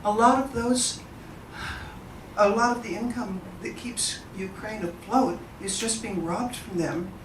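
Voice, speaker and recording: A woman's voice: mid-range voice, a little slow. A woman moderating an in-person event, talking to the audience in a chatty, enthusiastic way and introducing speakers. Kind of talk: informal presentation to an audience. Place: event room. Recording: roomy, distant mic, background noise.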